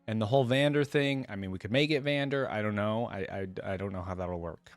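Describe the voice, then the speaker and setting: A man's voice: lowish voice, fairly quick, no echo, soft background music. A man hosting a reaction video, reading stories aloud and commenting on them in a casual, conversational way.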